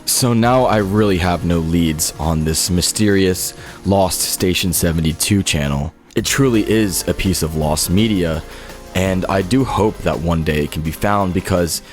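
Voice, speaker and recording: Male narrator, low register, scripted video-essay delivery, light music bed behind the voice. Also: measured pace. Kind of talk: scripted documentary-style narration. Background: background music.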